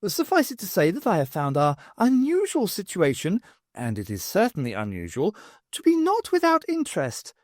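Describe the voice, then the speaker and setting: A man's voice: high voice, huge pitch swings, normal speed, no room sound, studio quality, pitched above the performer's own voice, heavily acted. A man voicing a genteel elderly lady academic in an audiobook, prim, formal and understated.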